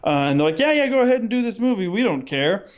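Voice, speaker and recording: A man with a fairly high-pitched voice co-hosts a casual podcast. He tells stories with humor, rambling along in an animated way and slipping into acted-out dialogue. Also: quick pace. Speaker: podcast co-host. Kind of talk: casual humorous chat, storytelling.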